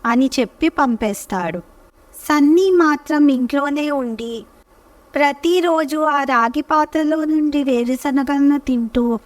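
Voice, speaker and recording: A woman narrating a scripted children's story as storyteller, with measured, careful phrasing. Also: sits high, no echo, recorded from a distance.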